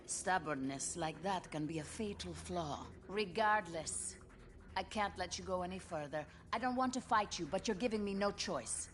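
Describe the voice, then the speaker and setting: A woman playing a cool, stern superspy in a video game cutscene, with a measured, taunting delivery. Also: lowish voice, lively intonation, slight background noise, close to an everyday voice. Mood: scornful, resolute. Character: cool, stern female superspy confronting former allies.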